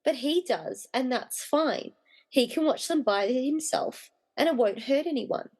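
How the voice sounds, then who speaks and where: A woman's voice: high-pitched, animated, measured pace, darkish tone, husky, heavily acted. A woman playing a determined, defiant wife in a radio play, indignant and blunt as she challenges a villain. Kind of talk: hobby podcast radio play acting.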